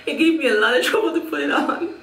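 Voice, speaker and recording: Jamaican lady chatting about her wig, laughs a lot, kinda high voice, roomy echo. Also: quick pace, fairly bright tone, recorded from a distance. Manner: chatty, laughs often, repeats herself. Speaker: hair vlogger. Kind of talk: casual product review. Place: small room at home, indoors. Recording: echoey room, a little off mic.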